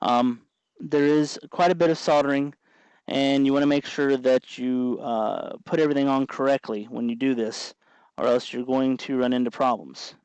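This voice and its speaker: A man hosting a casual hands-on tutorial, explaining in a halting way as he works. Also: medium pitch, fairly even intonation, darkish tone, clear, resonant tone, no room sound, dull audio. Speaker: host of a DIY electronics tutorial.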